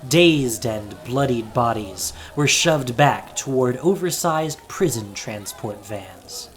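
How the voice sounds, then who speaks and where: Man narrating with very lively pitch. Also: takes it slowly, faint music behind. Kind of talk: dramatic scripted story narration.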